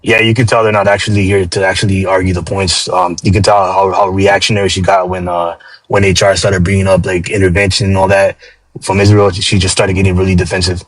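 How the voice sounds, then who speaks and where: A man's voice: sits low, level tone, quick pace, dry recording, poor audio. A man on a debate panel, talking casually with a lot of hesitant fillers.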